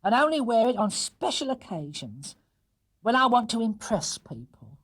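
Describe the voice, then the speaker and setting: A middle-aged storybook housekeeper, a man putting her on speaks in a raised, swooping voice. She is brisk but easily touched. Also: very high voice, very animated, fairly bright tone, breathy edge, pitched above the performer's own voice, exaggerated voice. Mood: pleased.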